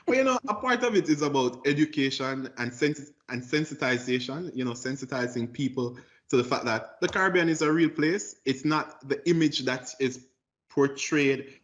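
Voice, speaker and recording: A man's voice: mid-range voice, normal speed, fairly bright tone. Earnest Jamaican man, lo-fi audio. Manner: earnest, cheeky storyteller. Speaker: lawyer guest. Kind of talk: casual interview talk.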